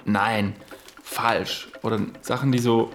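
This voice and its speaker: An actor playing a critical colleague airing grievances haltingly in naturalistic radio drama dialogue. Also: male voice, fairly low pitch, steady tone, a bit bright, slight background noise.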